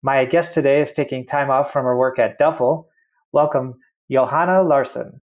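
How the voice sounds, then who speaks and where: Guy hosting an interview, friendly, chatty, lots of likes and okays, middle pitch. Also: moderate pace. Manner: friendly, chatty, full of fillers and follow-up questions. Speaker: interview host. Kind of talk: casual interview.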